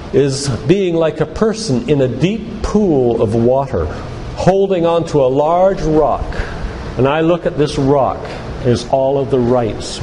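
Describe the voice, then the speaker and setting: An older man giving a sermon-like lecture in a church, speaking in an earnest, deliberate, emphatic way with repetition. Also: mid-range voice. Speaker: doctor and guest church speaker. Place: church, live talk. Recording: echoey hall with loud background noise.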